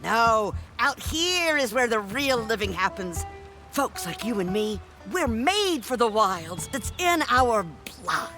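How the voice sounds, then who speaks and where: A hardy old matriarch from an adventure game. She speaks slowly and with dry, sardonic humour, her pitch moving expressively. Music is clear behind her. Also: big pitch swings, bright, forceful tone, heavily acted. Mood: nostalgic, hearty. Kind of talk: video game voice acting.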